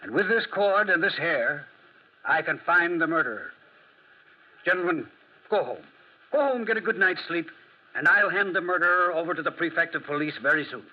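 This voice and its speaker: Wry, swooping radio-drama detective. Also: male voice, on the high side, expressive. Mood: self-assured, emphatic. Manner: theatrical, drily ironic, deliberate. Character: clever, amused, self-assured French amateur detective. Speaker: actor playing detective and narrator. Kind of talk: 1940s radio drama acting. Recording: muffled 1940s radio recording.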